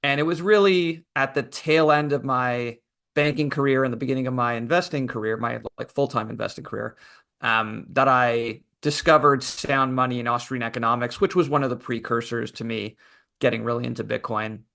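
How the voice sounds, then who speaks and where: A man answering interview questions at a mid-range pitch. He talks in a relaxed, reflective way, pausing on fillers as he thinks. He comes across as an author talking through his story. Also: vocal fry. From Canada. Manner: relaxed, thoughtful, many fillers. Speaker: podcast guest, author.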